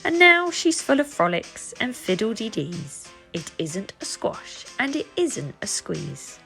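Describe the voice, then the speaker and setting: A woman storyteller reading a rhyming children's picture book aloud with expressive narration. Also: mid-range voice, unhurried, gravelly, faint music behind.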